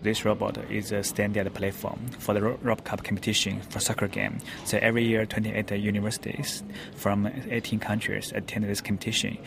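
A man's voice: lowish voice, completely flat delivery, fairly quick, gravelly. A man with a Chinese accent explaining things in an interview in hesitant, non-native English. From China. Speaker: robotics company representative. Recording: noisy recording at a public event.

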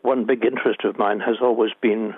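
A man's voice: fairly low pitch, fairly even intonation, a little fast, husky, no room sound, phone-quality sound. A man in his eighties with a Scottish accent, a poet reading his work and talking about it in an interview. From Northern Ireland. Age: 80s.

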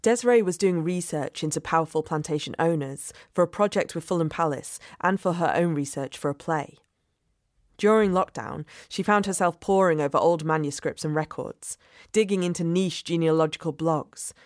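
A woman reporter and podcast host giving steady, clear scripted narration. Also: low-pitched, moderate pace, dry recording, clean studio sound.

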